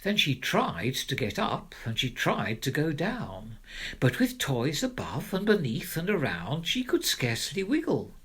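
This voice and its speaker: Male narrator for rhyming children's storybook: theatrical, highly varied pitch, slow pace, slightly husky. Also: huge pitch swings, sharp tone. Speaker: narrator, reader of children's poems.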